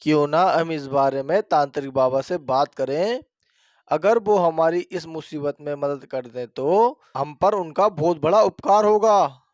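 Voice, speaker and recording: Storybook villager voiced by a man: worried, deferential, earnest, clear and lower than the other figures. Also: measured pace, a little mellow, no room sound, dull audio, close to an everyday voice. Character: worried, respectful village man seeking help. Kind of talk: dramatized Hindi moral horror story.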